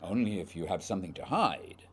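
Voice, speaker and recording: A man playing an earnest, uneasy Edwardian gentleman explorer in a radio play, speaking formally and hesitantly in a husky voice. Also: low voice, big pitch swings, brisk, slight background noise. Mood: earnest, probing.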